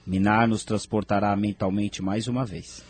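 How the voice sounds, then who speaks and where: A man playing a noble spirit mentor in an audio drama, narrating in a measured, formal, grave manner. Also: lowish voice, level tone, husky. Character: Inumar, grave noble male spirit mentor recounting history.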